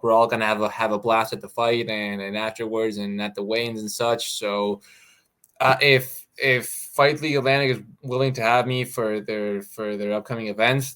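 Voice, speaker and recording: Brief: male fighter guest, American accent, lowish voice, stop-start delivery full of repeats. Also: fairly bright tone. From Mexico. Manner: halting, repeats words often. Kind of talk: casual interview.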